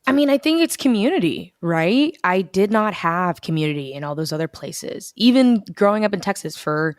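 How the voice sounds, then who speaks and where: A young woman from the United States, talking casually as an interview guest over a video call, telling stories with lively quoted asides. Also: medium pitch, normal speed, dry recording, clean studio sound. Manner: chatty, animated storytelling with quoted asides. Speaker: bookstore events director, guest.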